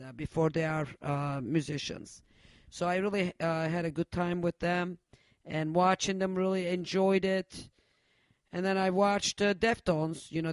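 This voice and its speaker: A man hosting a metal radio show, chatting casually, cursing freely and pausing on many uhs. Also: on the high side, smooth, no room sound. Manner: profane, loose, halting with many uhs. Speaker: radio host.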